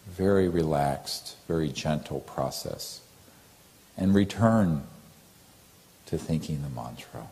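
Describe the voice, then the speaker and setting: A man leading a guided meditation as a teacher, with gentle, calming, deliberate phrasing. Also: extremely low pitch.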